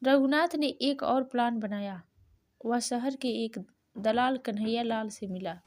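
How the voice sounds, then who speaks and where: A woman's voice: on the high side, measured pace, darkish tone. A woman narrating a scripted moral story as the storyteller.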